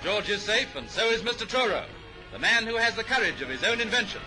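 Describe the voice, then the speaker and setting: A British man narrating a 1930s newsreel in a brisk, declamatory, jaunty announcer's manner. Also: sits high, sing-song, rough recording. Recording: vintage 1930s newsreel soundtrack, music underneath. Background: music.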